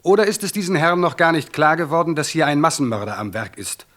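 An actor voicing an alarmist, indignant newspaper writer, declaiming an article in a radio play. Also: male voice, a little high, quick pace, a bit bright, close to an everyday voice. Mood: alarmed, accusing. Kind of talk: dramatic reading of a newspaper article.

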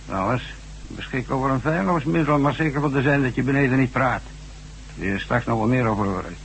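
An actor playing a gruff, bossy overseer in a Dutch radio drama, giving orders in a curt, commanding manner. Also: male voice, medium pitch, fast talker, a little hiss or hum, natural voice, rough recording. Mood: curt, stern.

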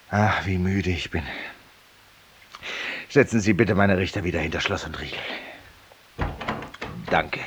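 An actor playing a feverish, weary, self-styled judge-penitent in a 1950s radio drama, confiding ironically and theatrically in a silent listener. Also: male voice, low voice, breathy edge, heavily acted. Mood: exhausted. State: feverish and tired, with coughs.

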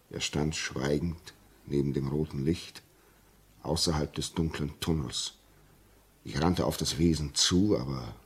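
An actor in a 1950s German radio play voicing a haunted, earnest railway signalman with a husky, halting, brooding delivery. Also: heavily acted. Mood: haunted, hushed. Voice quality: husky, very deep. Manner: halting, brooding, repeats words for emphasis. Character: haunted railway signalman, dread-ridden, earnest male storyteller.

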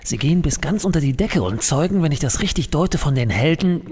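A man's voice: fairly high pitch, expressive, a little fast, a little mellow, close to an everyday voice. A man playing a nervous, flippant office worker with dry sarcasm in a comic audio drama. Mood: wry, uneasy. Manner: dry, sarcastic, hesitant under pressure. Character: flippant, nervous male office worker facing his boss.

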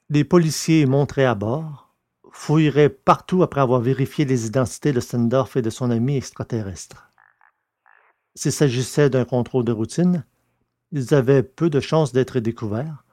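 A man's voice: medium pitch, dark tone, no echo. Dry-sounding male audio drama narrator.

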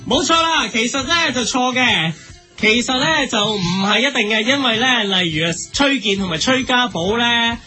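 Man acting as goofy TV guest, bright forceful voice, flustered, repetitive, light music behind. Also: very high voice, moderate pace, full character voice. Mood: eager, goofy. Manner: repetitive, flustered, emphatic catchphrases. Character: goofy celebrity guest on children's show, rambling, agreeable. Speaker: show guest.

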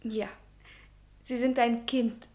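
An actress playing a sharp-witted, bold and protective young lady, assertive and knowing, in a German radio play. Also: on the high side, flat delivery, takes it slowly.

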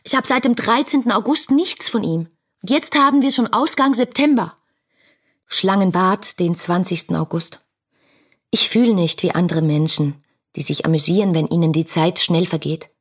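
A woman's voice: on the low side, moderate pace, husky. An actress playing a passionate, dreamy young woman in a German radio play, reading her letters in a lyrical, intimate, fervent manner. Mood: indignant.

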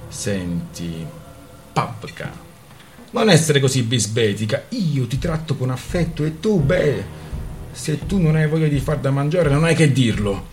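Weary gruff man, read by a male narrator, terse, pleading, audiobook dialogue. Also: mid-range voice, big pitch swings, measured pace, slight background noise, pitched above the performer's own voice.

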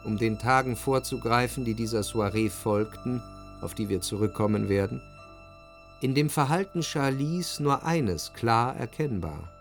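An actor playing a reflective, ironic gentleman narrator in a radio drama, articulate and analytical in his literary telling. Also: mid-range voice, expressive, measured pace, soft background music.

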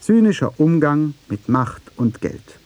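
A man's voice: fairly high pitch, big pitch swings, unhurried, darkish tone. A man reading a scripted radio documentary in a sober, precise manner. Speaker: radio feature narrator.

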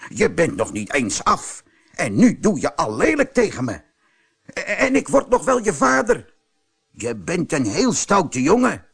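Man acting a lonely woodcarver, swooping husky voice. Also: fairly high pitch, measured pace, pitched above the performer's own voice, full character voice. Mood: hurt scolding. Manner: muttering, grumbling, expressive. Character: lonely woodcarver, grumbling would-be father. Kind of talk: storybook character voice.

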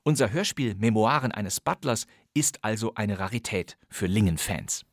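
A man's voice: fairly low pitch, lively intonation, normal speed, dry recording, studio quality. Wry man hosting a radio crime podcast. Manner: wry, ironic commentary. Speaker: podcast host. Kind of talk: scripted radio narration. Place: studio.

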